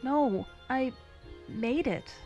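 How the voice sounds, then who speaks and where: A woman plays an anxious young female character in a fantasy audio story. The voice is high and halting, full of feeling, with music underneath. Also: darkish tone, dull audio, exaggerated voice. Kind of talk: dramatized fantasy story reading.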